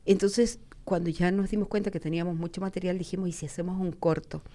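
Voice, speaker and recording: Enthusiastic woman from Chile, interview guest, lively storyteller who voices the quotes. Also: fairly low pitch, fast. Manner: enthusiastic, acts out quoted dialogue. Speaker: guest, photojournalist and filmmaker. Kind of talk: animated storytelling.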